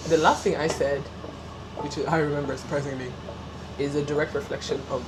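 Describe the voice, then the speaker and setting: A woman guest on a casual podcast, joining in with playful, lively banter. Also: low voice, a little fast, background noise.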